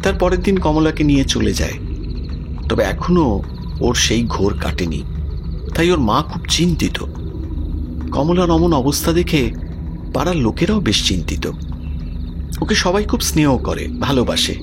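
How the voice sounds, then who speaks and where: An actor playing a worried village man in an audio drama, earnest and expressive as he talks. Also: a little high, measured pace, pauses often, noisy background. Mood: troubled, earnest. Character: worried village man recounting his cousin's frightening affliction.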